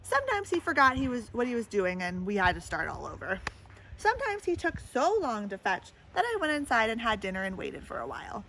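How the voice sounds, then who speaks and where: Animated woman reading a children's storybook. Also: a little high, a little fast, slight background noise, low-quality recording. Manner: animated, addresses young listeners with questions and comments. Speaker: storybook reader. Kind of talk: read-aloud children's picture book with asides to listeners.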